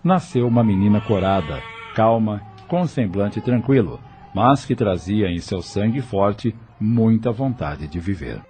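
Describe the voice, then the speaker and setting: A man's voice: on the low side, expressive, a little slow, music in the background. A man narrating a scripted audio novel in a dramatic storytelling manner.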